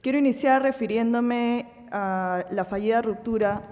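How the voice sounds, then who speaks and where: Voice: medium pitch, moderate pace, darkish tone, a little hiss or hum. A panelist from Peru with a Peruvian Spanish accent, giving a formal conference speech in a solemn, emphatic way. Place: large conference hall. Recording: long hall reverb.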